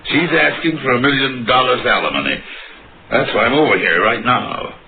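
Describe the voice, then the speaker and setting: A man's voice: mid-range voice, lively intonation, normal speed, close to an everyday voice. An actor playing a blunt, self-important millionaire businessman being questioned in an old radio crime drama. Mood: rattled, blunt. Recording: muffled old radio recording, background chatter.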